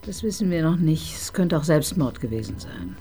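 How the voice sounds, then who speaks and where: A wry, sardonic police detective. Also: female voice, low-pitched, very animated, moderate pace, warm tone, faint music behind. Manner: dry, ironic, teasing. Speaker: detective chief inspector. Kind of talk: radio crime drama acting.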